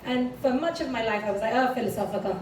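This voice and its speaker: A woman's voice: on the high side, fast, darkish tone. A woman with a British accent giving a candid personal talk with slides, chatty and full of fillers. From United Kingdom. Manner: chatty and candid, frequent fillers, light laughs. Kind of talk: conference talk, personal story. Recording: echoey room, distant mic, background noise.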